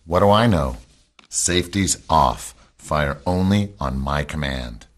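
A man's voice: rumbling low, lively intonation, takes it slowly, heavily acted. A man playing a stern military captain in a radio drama, giving terse orders to his team. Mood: firm, commanding.

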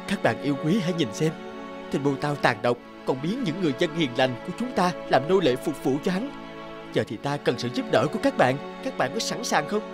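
A man's voice: fairly high pitch, big pitch swings, brisk. A man playing a brave, earnest young husband and hero in a cartoon fairy tale, speaking with resolve. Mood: resolute. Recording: music under the voice.

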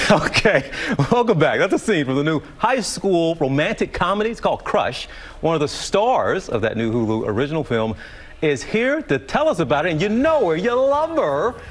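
A middle-aged man hosting a TV studio interview, animated and joking, with lots of stumbling restarts. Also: fairly high pitch, moderate pace. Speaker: talk show host. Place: large TV studio.

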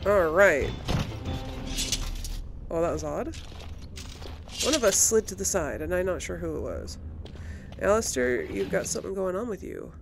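A woman giving casual let's play commentary on a video game, thinking aloud in an unhurried way. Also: on the low side, big pitch swings, gravelly, over music. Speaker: gamer, series host.

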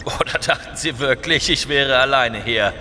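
A man plays a cool, cocky space hero in a sci-fi audio drama. He sounds wry and self-assured at a medium pitch. His voice rings in a long reverb. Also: normal speed, very noisy, lightly acted. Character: heroic male space commander, cool, cocky, unflappable. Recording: long reverb with music behind.

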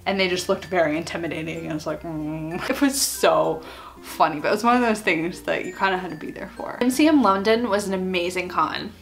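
A woman's voice: lowish voice, brisk, creaky, soft background music. Chatty young woman telling convention stories. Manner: chatty and animated, acts out quoted friends. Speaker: vlogger. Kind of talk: casual storytime chat. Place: small bedroom, indoors.

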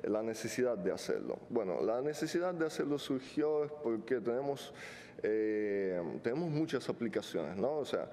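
A man's voice: animated, normal speed, warm tone. A man in his thirties who is not a native speaker, giving a technical conference talk with many fillers and joking asides. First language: not Spanish. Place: large conference hall stage. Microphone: podium mic. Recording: hall reverb, faint rumble.

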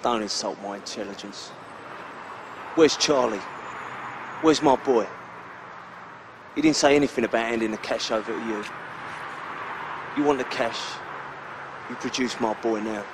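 Actor as a gritty London gangster, terse and defiant. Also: male voice, mid-range voice, measured pace, pauses often, background noise, close to an everyday voice. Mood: scornful, defiant. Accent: London English. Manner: terse, gritty, foul-mouthed. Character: hard-boiled male London ex-gangster, bitter and defiant. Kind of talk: video game cutscene acting.

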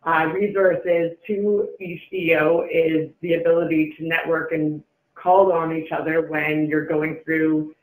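A man with a high-pitched voice walks listeners through event plans in an informal presenting style. The sound is roomy and echoey, like a conference call from a meeting room. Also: level tone, unhurried. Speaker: event organizer presenting an agenda.

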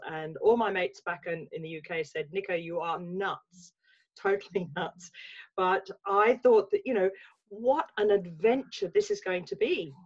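A woman's voice: on the low side, darkish tone, highs cut off. A woman in her sixties with an English accent, talking reflectively as a guest on a video call from her home, with many restarts. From England. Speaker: guest, life coach. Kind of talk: casual video-call interview. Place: home room with bookshelves. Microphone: laptop webcam mic on video call.

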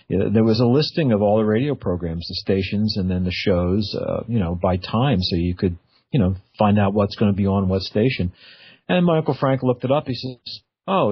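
A man's voice: fairly low pitch, breathy edge, no room sound. A middle-aged man hosting a radio interview in a chatty, informal, conversational way. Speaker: radio show host. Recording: dull, band-limited radio audio.

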